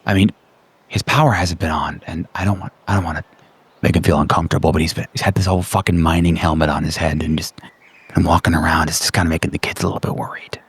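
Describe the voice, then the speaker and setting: A man's voice: low voice, fairly even intonation, quick pace, husky. A man playing an awkward, worried suburban neighbor in his thirties in a tabletop game, hesitant and rambling. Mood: nervous, worried.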